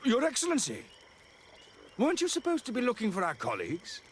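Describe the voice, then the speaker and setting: An actor playing a self-righteous, scheming priest in a video game cutscene, with haughty, impassioned declaiming. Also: male voice, very high-pitched, huge pitch swings, fairly quick, slight background noise, heavily acted. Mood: bitter, accusing.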